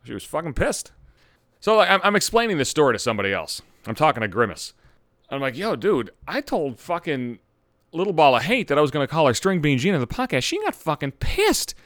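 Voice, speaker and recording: A man hosting his own podcast, ranting loudly with heavy swearing after just getting over a cold. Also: a little high, big pitch swings, brisk, no echo, clean studio sound. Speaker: podcast host. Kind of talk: profane comedic rant.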